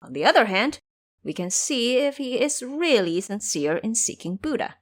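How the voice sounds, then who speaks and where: Scheming male monkey disciple, played by a woman, cocky and commanding, very lively pitch, audio drama. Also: normal speed. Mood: sly, animated.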